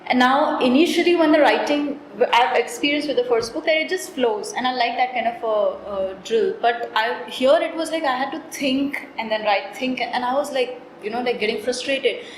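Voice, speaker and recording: A woman, thinking aloud in a relaxed interview about her writing and filling her talk with "you know". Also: sits high, normal speed, hardly any pauses, reverberant, slight background noise, poor audio. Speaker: author being interviewed. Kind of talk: casual reflective interview talk. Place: cafe.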